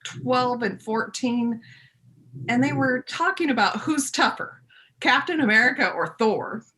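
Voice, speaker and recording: A woman playing a folksy storyteller in her fifties in a readers theater monologue, conversational and confiding, with dramatic pauses. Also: a little high, expressive, bright, forceful tone, poor audio. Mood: storytelling.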